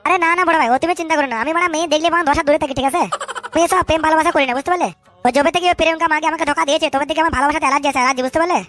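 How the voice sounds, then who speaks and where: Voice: high-pitched, fairly even intonation, very fast, fairly bright tone, soft background music. An actor voicing a crude, lovestruck cartoon sidekick, defensive and shifty, in speech, blustering and stammering when flustered. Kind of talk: comic cartoon dub with street slang.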